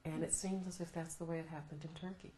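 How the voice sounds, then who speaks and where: Middle-aged American woman, low voice, thoughtful interviewee; roomy echo, light hiss. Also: flat delivery, warm tone. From United States. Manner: thoughtful, measured, laughs often. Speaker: law professor, interviewee. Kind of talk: reflective interview answers. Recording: roomy echo, faint noise.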